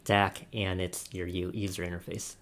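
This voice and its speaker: Male podcast co-host, low register, creaky and gravelly, casual roundtable delivery. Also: flat delivery, normal speed, fairly bright tone, slight background noise.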